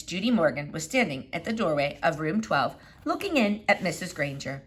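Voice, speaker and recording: A woman narrating a children's novel in an expressive read-aloud style. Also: lowish voice. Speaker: storybook reader.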